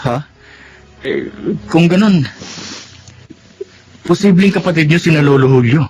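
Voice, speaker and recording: A fairly high-voiced Filipino son. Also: male voice, measured pace, darkish tone, soft background music, low-quality recording. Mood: surprised. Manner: earnest, polite. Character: curious, respectful grown son. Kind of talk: Filipino melodrama acting.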